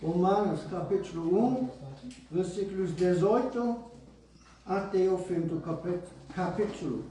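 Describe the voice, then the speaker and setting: A man preaching a sermon in Portuguese with a foreign accent, reading scripture slowly and deliberately with small restarts. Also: sits high, a little mellow, slight background noise. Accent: English-speaking foreigner speaking Portuguese. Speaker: pastor. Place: church hall. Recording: roomy church hall, voice from far off.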